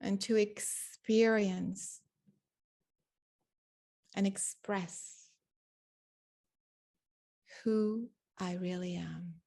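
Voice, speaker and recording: A woman guides a meditation at a very unhurried pace. Her voice sits at an average pitch for a woman. She gives instructions in short, deliberate phrases, leaving long pauses between them. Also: steady tone, vocal fry, mellow.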